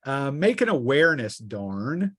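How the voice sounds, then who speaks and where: A man running a tabletop game as game master, improvising narration in a halting, piece-by-piece way. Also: mid-range voice, sing-song, background noise. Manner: halting, piecing descriptions together aloud.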